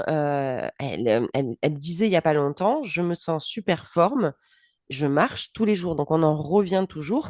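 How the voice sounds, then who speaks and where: A woman co-hosting a casual podcast chat as a coach, animated and laughing often, speaking in quick bursts. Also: lowish voice, no echo, clean studio sound.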